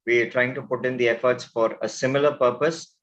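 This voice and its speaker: A man's voice: mid-range voice, fairly even intonation, brisk. A polite, earnest man speaks as a guest on a panel held over a video call. His audio comes through a bit muffled and dull.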